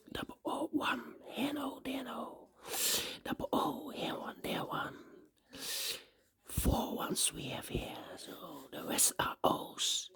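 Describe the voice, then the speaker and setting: A puzzle instructor who has lost their voice, whispering hoarsely while talking through a puzzle solution and repeating rule phrases while counting cells aloud. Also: mid-range voice, a bit bright.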